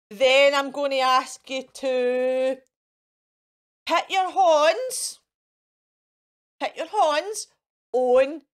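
Female kids' host, Scottish accent, high-pitched and unhurried, sing-song fairy presenter who coaxes and encourages. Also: a bit bright. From Scotland. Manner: exaggerated, sing-song, coaxing with questions and encouragement. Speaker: children's storytime host in character as a fairy. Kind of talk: playful children's presenting to camera. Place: indoors in front of a fairy backdrop.

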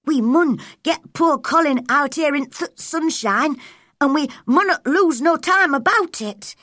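Woman voicing a high, earnest storybook girl. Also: big pitch swings, slow pace, dry recording, clean studio sound, exaggerated voice. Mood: eager. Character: kind, firm, spirited young girl. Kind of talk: storybook character reading.

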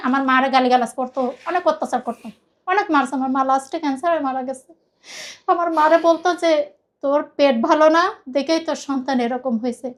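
A woman from Khulna with a Bangladeshi accent, telling her personal story in a rambling, emphatic way and often repeating herself. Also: high-pitched. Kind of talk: personal confessional account in conversation.

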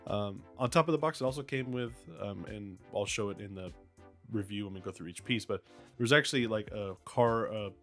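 A man with a voice left raspy by a cold, casually unboxing and reviewing a toy figure with plenty of ums and uhs. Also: on the low side, fairly quick, faint music behind. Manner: relaxed, many ums and uhs.

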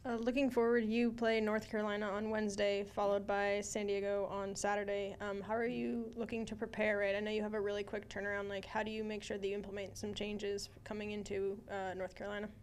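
A woman's voice: mid-range voice, flat delivery, brisk, few pauses, a little hiss or hum. A woman reporter asking a question at a press conference.

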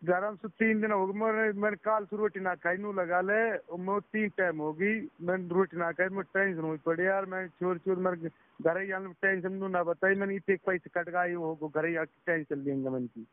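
A man's voice: high-pitched, fairly even intonation, moderate pace, dry recording. A man on a phone call, recounting an incident in a repetitive, agitated, casual way. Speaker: phone caller, a driver recounting being scammed. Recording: tinny phone call recording.

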